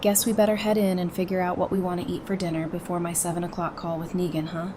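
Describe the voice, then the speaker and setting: An actress playing a frank, determined and playful psychiatrist surviving the apocalypse, talking informally in an audio drama. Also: female voice, on the low side, level tone, fast, lightly acted. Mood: firm. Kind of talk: fan audio drama acting. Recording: clearly noisy, with ambience behind.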